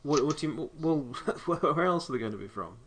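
A man taking part in a tabletop game, halting and wry. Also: fairly high pitch, lively intonation, a little hiss or hum.

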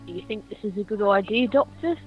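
A woman's voice: medium pitch, expressive, fast talker, phone-quality sound, faint music behind, natural voice. Woman acting uneasy mad doctor's assistant. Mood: wary, doubtful. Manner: wary, questioning. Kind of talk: amateur horror radio play acting.

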